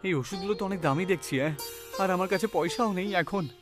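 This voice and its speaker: An earnest young tea seller, played by a man, high in pitch and plaintive. It is emotional cartoon storytelling with music behind the voice. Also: fast, lightly acted. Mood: worried. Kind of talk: animated cartoon story voice acting.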